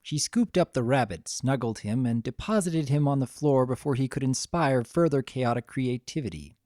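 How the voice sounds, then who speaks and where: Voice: medium pitch, lively intonation, moderate pace, warm tone, no room sound, studio quality. A narrator giving a dramatic reading of a story in a scripted storytelling style.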